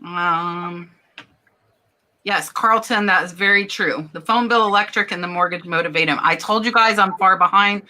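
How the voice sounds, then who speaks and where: A woman's voice: lowish voice, normal speed, sharp tone. A woman from Florida hosting a live stream chat, talking casually, laughing and reading out viewer comments. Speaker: host.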